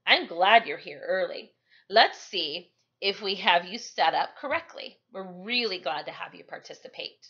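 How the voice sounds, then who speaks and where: A woman's voice: on the low side, expressive, normal speed, a bit bright, breathy edge, dull audio, heavily acted. A woman voicing a friendly, encouraging male stage manager who explains things kindly in a storybook reading. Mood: welcoming, explanatory.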